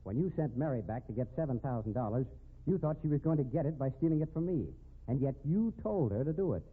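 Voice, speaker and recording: A man's voice: mid-range voice, expressive, fast talker, husky. An actor playing a confident, tough man cornering a crook in a 1950s radio drama, sardonic and hard-boiled. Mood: smug, sardonic. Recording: narrow phone-like old radio recording.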